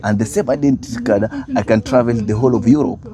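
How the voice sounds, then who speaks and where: A man's voice: medium pitch, fast talker. A man from Kenya, hosting a casual chat, talking in a loose, hesitant way with many fillers. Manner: chatty, hesitant, many uh and yeah. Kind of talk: casual street conversation. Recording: crowd noise behind.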